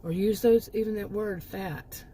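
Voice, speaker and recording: Mellow-voiced woman ranting, voice going hoarse. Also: medium pitch, a little slow, slight background noise. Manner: rambling, emphatic, with frequent restarts and asides. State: losing her voice. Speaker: commentary channel host. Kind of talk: casual opinion monologue.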